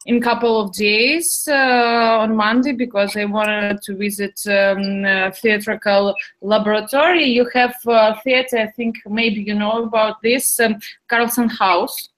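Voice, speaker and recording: A woman's voice: fairly high pitch, steady tone, a little slow, fairly bright tone, rough recording. A young woman from Ukraine chatting in an English speaking club, hesitant, with many fillers and restarts. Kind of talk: casual chat in learner English.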